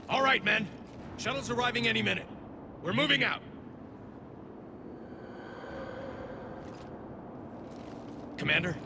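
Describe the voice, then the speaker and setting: A man voicing a dutiful, remorseful alien soldier in a video game, formal and earnest in a military way. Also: high-pitched. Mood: rallying, resolute. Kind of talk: dramatic video game acting. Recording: noisy battlefield ambience behind voice.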